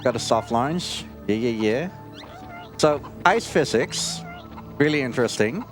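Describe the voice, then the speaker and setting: A man's voice: medium pitch, lively intonation, measured pace. A man giving casual live commentary as a speedrunner, with wry, hesitant asides. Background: video game music.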